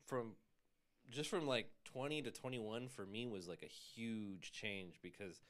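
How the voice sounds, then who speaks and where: A man in his twenties, co-hosting a casual podcast with friends and talking in a relaxed, reflective way. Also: lowish voice, unhurried. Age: 20s. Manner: relaxed, reflective, laughs loudly. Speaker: podcast co-host. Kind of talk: casual chat among friends.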